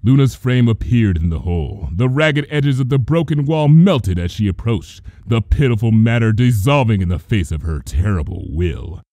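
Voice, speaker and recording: A man's voice: medium pitch, animated, moderate pace, few pauses, dark tone, dry recording. A man reading a story aloud as a theatrical, intense narrator. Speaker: fan fiction reader. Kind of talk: dramatic scripted story narration.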